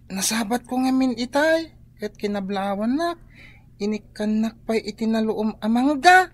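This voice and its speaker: A woman's voice: on the high side, expressive, measured pace. A woman with a Filipino accent playing an inquisitive daughter in a radio drama. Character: inquisitive daughter pestering her mother with questions.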